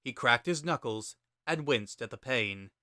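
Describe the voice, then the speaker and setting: A man giving a measured, dramatic narration of a scripted story. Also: mid-range voice, expressive, pushed and bright, dry recording, clean studio sound.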